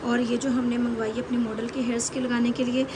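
A woman's voice: on the high side, little pitch movement, fairly quick. A woman with a Pakistani accent giving an informal, instructive hairstyling tutorial as a salon instructor, often checking that listeners follow. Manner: instructive, repeatedly checks with "okay". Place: indoor beauty salon. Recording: very noisy salon room recording. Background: loud background noise.

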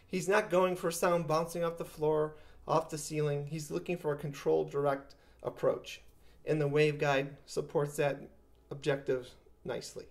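Guy in his 50s reviewing audio gear, kinda high voice, explains stuff in simple terms. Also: level tone, normal speed. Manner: explanatory, with plain everyday comparisons. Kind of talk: product review talk to camera. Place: living room with acoustic panels, indoors.

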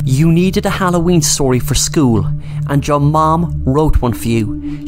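Urgent, earnest dad for a horror cartoon, read by a male storyteller, medium pitch over music. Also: natural voice. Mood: urgent, confessing. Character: distraught urgent father confessing a family curse. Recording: music behind the voice.

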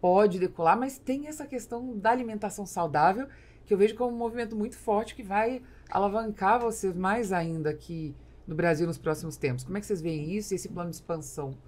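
A woman's voice: mid-range voice, brisk, a little mellow. A woman with a Brazilian accent hosting a podcast, explaining at length as she builds her questions in a conversational way. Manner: explanatory, long linked questions. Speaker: podcast host. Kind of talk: conversational interviewing. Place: small foam-padded indoor podcast studio. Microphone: studio mic on boom arm.

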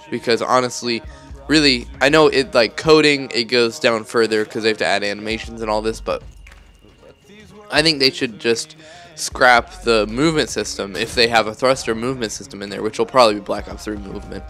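A young man with a bright, somewhat low voice talks over game footage, sounding sick and groggy. He rambles and corrects himself mid-sentence in a chatty, loose way, with faint music underneath. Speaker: gaming YouTuber. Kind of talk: casual commentary over gameplay.

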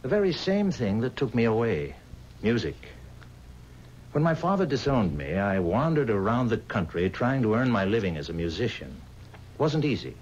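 An actor playing a haunted, pleading musician bound to the devil, speaking earnestly and formally in dramatic 1970s radio style. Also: male voice, medium pitch, sing-song, normal speed, darkish tone, lightly acted. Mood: grave. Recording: dull, hissy 1970s radio recording.

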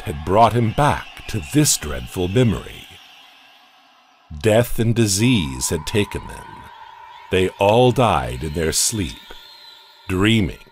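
A man narrating a horror audio comic in a dramatic, measured storytelling manner. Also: low voice. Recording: music bed underneath.

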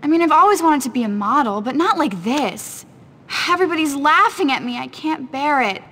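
An upset, insecure teenage girl in a video game. Her voice is high and swings dramatically with self-pity. Long reverb surrounds it. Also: animated, moderate pace, fairly bright tone. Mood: distraught, self-pitying. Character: distraught, insecure popular teenage schoolgirl. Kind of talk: video game cutscene acting. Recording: reverberant hall.

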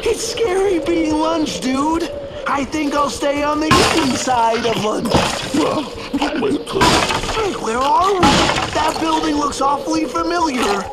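A man's voice: very high-pitched, slow, loud music behind the voice. A man playing a goofy, cowardly, food-obsessed young slacker with slangy, drawling hippie talk in a cartoon game. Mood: nervous, goofy. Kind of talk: animated cartoon game acting.

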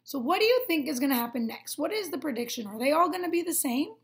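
A woman's voice: high-pitched, expressive, fast, gravelly. A woman in her twenties, a teacher reading aloud to children, explaining and prompting them with simple questions. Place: small room at home, indoors.

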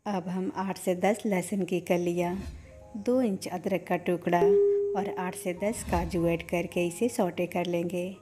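A woman hosting a cooking channel, giving calm step-by-step recipe instructions as a voice-over. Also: lowish voice, takes it slowly, over music.

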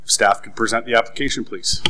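A man's voice: medium pitch, fast talker, bright, forceful tone. A man chairing a public committee meeting, making formal procedural announcements at a desk microphone in a council chamber.

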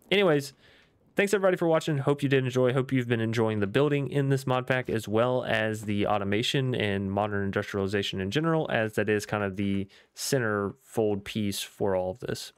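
Young gaming YouTuber, male, chatty and upbeat, voice going a bit hoarse, dry close mic sound. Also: fairly low pitch, moderate pace, no room sound. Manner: chatty, upbeat, rambling with fillers. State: losing his voice. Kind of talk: casual gameplay commentary.

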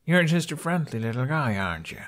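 A man voicing a gentle, calm young man who soothes animals in a soft murmur, in an audiobook. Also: medium pitch, fairly quick, pitched above the performer's own voice. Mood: tender.

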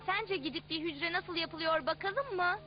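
A tiny, eager young cartoon blood cell, squeals in a very high childlike voice. The delivery is curious and exclamatory, on dull audio with music clearly behind it. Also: female voice, normal speed. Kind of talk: dubbed educational cartoon acting.

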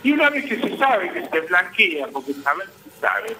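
Argentine male interviewee, high and sharp-edged, insistent spokesperson delivery, roomy call sound. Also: sing-song, normal speed, background noise. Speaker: guest, transport business association vice president. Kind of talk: live news interview by phone.

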